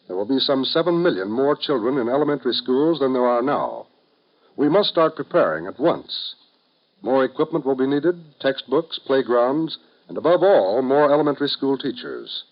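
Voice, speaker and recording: A male American radio announcer with a mid-pitched voice. He delivers a public-service message in formal, measured 1950s style. The vintage recording is muffled.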